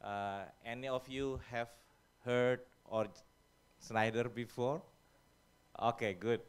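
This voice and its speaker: A man's voice: mid-range voice, fairly even intonation, slow pace, smooth. An Indonesian man speaking at a conference, talking hesitantly with many fillers and informal English. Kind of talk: presentation talk. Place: conference hall.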